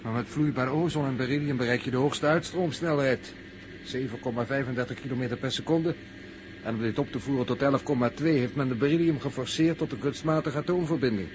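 An actor playing a pedantic, unruffled technical expert who speaks in precise, bookish phrases in an old radio drama. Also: male voice, mid-range voice, brisk, natural voice. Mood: didactic. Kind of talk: 1960s radio drama acting. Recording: noisy old radio recording, vehicle hum.

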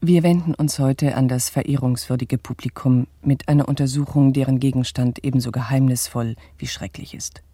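A man acting as a pompous nineteenth-century bourgeois narrator in a radio drama. His voice is dark and mellow, recorded very dry. He addresses the public in formal, indignant, declamatory style. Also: mid-range voice, fairly even intonation, moderate pace, hardly any pauses, slightly rough, dry recording, close to an everyday voice. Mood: grave indignation. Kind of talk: formal old-fashioned scripted address to an audience.

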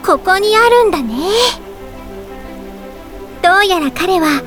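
A woman playing a sweet, bubbly young newlywed mermaid, telling her own story in an expressive Japanese audio drama style. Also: very high-pitched, unhurried, heavily acted. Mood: lovestruck, cheerful. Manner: cutesy, expressive first-person narration with exclamations. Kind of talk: Japanese audio drama monologue, game tie-in. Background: music.

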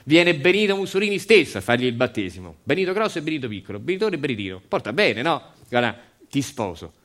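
A man's voice: mid-range voice, sing-song, fast talker, fairly bright tone. A man playing an arrogant, vain factory boss in a stage story, with a Roman accent and a blustering, repetitive, emphatic way of bargaining. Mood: boastful bargaining.

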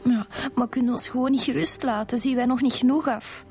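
A woman's voice: a little high, fairly even intonation, brisk, darkish tone, soft background music, lightly acted. A young woman with a Flemish accent playing a weary, protective student daughter in a radio drama, hesitant and subdued. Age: young adult.

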